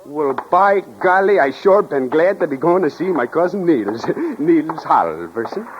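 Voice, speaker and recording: A muffled old radio drama voice: a bumbling sailor with a mock Scandinavian accent. He is pitched high, hesitant and naive, stumbling over his words. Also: big pitch swings, unhurried, background noise. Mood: naive, eager. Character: bumbling, simple Scandinavian-American sailor looking for his cousin. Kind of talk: 1940s radio acting.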